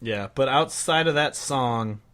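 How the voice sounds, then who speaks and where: A young man co-hosting a casual podcast, agreeable and quick to say yeah while giving his own opinions. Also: medium pitch, fairly bright tone. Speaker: podcast co-host. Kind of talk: casual chat.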